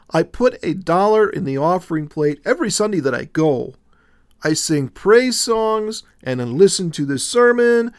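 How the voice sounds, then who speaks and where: A man's voice: fairly high pitch, no echo, studio quality. Willow, a man voicing a nervous defensive young woman. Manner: nervous, stammering, indignant.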